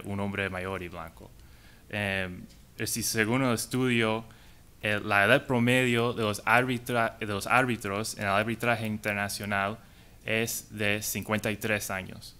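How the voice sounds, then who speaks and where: A man, an English speaker, giving a conference talk in halting, hesitant Spanish. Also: on the low side, little pitch movement, sharp tone, smooth. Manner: halting, hesitant delivery in non-native Spanish. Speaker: conference panelist.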